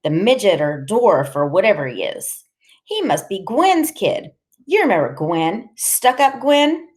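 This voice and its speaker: A cruel, giggly troublemaker of a woman as a female audiobook narrator plays her. She talks in slang at a raised pitch, teasing and needling with brash relish. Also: sing-song, measured pace. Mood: gossipy, excited.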